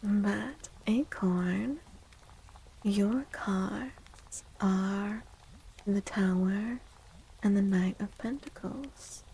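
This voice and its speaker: A soft-spoken woman hosting an ASMR livestream, gentle and unhurried, with a breathy, often whispered voice. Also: mid-range voice, poor audio. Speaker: livestream host and tarot reader.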